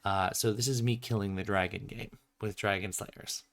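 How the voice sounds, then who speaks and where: A man with a fairly low voice hosts an informal design commentary stream. He explains things in a chatty, unscripted way, like a creator walking through his own work. He sounds slightly sniffly, as if getting over a cold. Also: steady tone, moderate pace, fairly bright tone.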